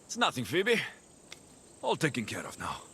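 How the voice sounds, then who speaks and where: Young mercenary, wry, very swooping pitch, faint outdoor nature noise, video game. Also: male voice, huge pitch swings, moderate pace, pushed and bright, heavily acted. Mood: weary, wry. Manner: wry, defiant. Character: gruff, sardonic young male ancient Greek mercenary. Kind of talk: video game character acting.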